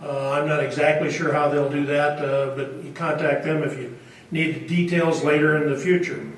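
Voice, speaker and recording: A man introducing a speaker and reading event announcements, halting with many pauses. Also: on the high side, level tone. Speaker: event host. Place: auditorium. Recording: echoey hall, distant mic.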